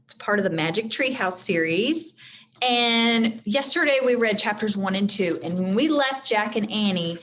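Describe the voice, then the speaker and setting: A woman's voice: mid-range voice, lively intonation, normal speed, a bit bright. A woman teacher reading a storybook aloud expressively, with simple explanatory asides for children.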